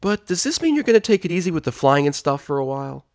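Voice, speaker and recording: A man's voice: a little high, big pitch swings, quick pace, pitched above the performer's own voice. A man voicing a small young male dragon, a casual and slightly cheeky storybook sidekick. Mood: skeptical, curious.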